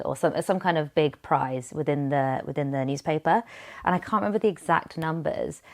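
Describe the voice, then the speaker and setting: A woman co-hosting a podcast, talking earnestly in casual chat and retelling a study at length. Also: deep voice. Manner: earnest, tells stories at length with fillers. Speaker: podcast co-host.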